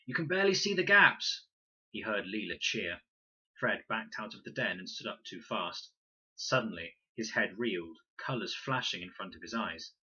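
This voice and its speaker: Forceful, husky-voiced man reading a story. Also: low-pitched, moderate pace, lo-fi sound. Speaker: story reader, teacher. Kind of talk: scripted narration, children's novel read aloud.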